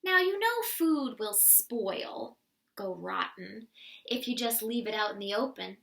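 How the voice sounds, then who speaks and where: A woman's voice: medium pitch, expressive, a little slow, gravelly, fairly bright tone. A woman voicing a wronged male wolf in a children's read-aloud, theatrical and emphatic as he pleads his innocence. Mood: dramatic, self-justifying. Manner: theatrical, emphatic storytelling with huffs and gasps. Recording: voice some distance from the mic.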